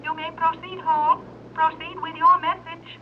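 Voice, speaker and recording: A stern young submarine commander who gives clipped, curt orders in a very high, flat voice. It comes through as a tinny, narrow radio transmission on an old serial recording with faint hiss. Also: female voice, measured pace. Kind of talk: 1930s radio acting.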